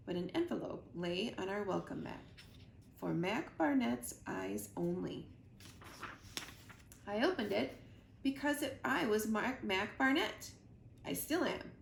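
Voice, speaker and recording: A woman reading a children's book aloud in an expressive, animated storytelling manner. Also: mid-range voice, normal speed. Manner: animated, acts out dialogue. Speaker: reader of children's books at a library. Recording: echoey room, clear background noise.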